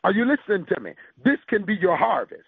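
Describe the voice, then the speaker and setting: High, gravelly preacher man over radio. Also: sing-song, quick pace, no echo. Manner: emphatic call-and-response preaching, quoting scripture. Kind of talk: sermon. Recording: tinny phone-like radio broadcast.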